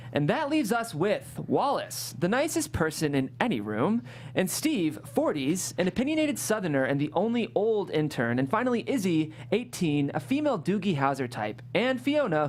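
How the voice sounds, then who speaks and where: A woman's voice: on the low side, very animated, normal speed, a little mellow, slight background noise. A woman hosting a panel and reading out script narration. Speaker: host and narrator.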